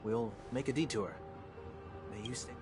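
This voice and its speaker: An actor playing a composed, courteous, enigmatic gentleman in a video game, with formal, measured phrasing. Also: medium pitch, over music, close to an everyday voice. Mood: calm, guarded.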